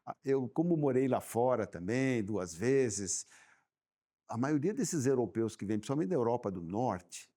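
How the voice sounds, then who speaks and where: A man chatting on a podcast, telling animated stories and repeating words as he thinks. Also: medium pitch, measured pace. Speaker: guest business owner. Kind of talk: casual podcast chat.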